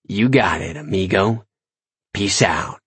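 A man's voice: low voice, slow, a bit bright, breathy edge, no echo, voice pushed down, heavily acted. A man voicing a cocky, smug warlock adventurer with a swaggering, slangy delivery, in an audiobook.

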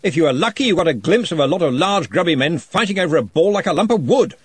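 A man voicing a loud, brusque old wizard chief in a storybook reading, bellowing and blustering. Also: a little high, animated, quick pace, husky, no room sound, pitched above the performer's own voice.

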